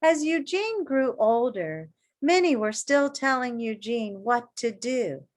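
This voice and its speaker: Slow, higher-pitched woman reading to children. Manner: expressive, deliberate, addresses young listeners directly with questions. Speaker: elementary school teacher reading a picture book aloud. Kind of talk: children's story read-aloud with asides to listeners.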